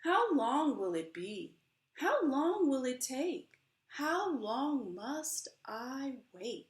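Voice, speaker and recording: Slow, echoey American woman reading aloud. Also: high-pitched, big pitch swings. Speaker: children's book author. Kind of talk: expressive picture-book read-aloud. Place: small room indoors at home. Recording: roomy indoor echo.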